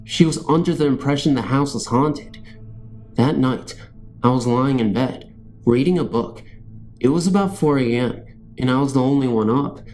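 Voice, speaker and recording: Mellow male ghost story narrator over faint music. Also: mid-range voice, moderate pace, rough recording. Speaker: narrator of scary stories.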